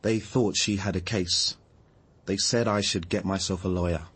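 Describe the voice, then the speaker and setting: An actor playing an earnest, gentle, hard-up idealist activist in a radio drama, mild and a little preachy. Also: male voice, low voice, fairly even intonation, normal speed, husky. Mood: downcast.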